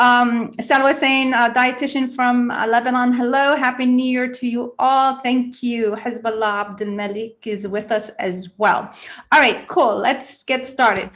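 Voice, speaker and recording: Bubbly woman on a livestream, chatty, lotsa fillers, slightly high voice. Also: a bit bright. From Egypt, grew up in the US. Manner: upbeat, chatty, many fillers and restarts. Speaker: livestream host, health speaker. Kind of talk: casual livestream talk, reading out chat names.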